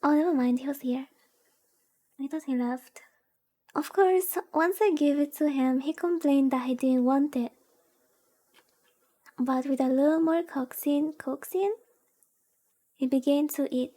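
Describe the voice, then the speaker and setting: A woman's voice: high-pitched, unhurried, long pauses, mellow. A woman streamer reading a visual novel aloud in a soothing way, with cutesy, gushing chatty asides. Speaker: VTuber streamer.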